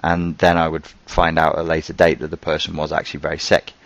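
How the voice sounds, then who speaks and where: A man's voice: extremely low pitch, monotone, fast talker, vocal fry, dry recording, dull audio. A man from Merseyside with a toned-down Scouse accent, hosting a live online Q&A and chatting loosely as he answers viewer questions. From Merseyside, England. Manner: conversational and loose, thinking aloud.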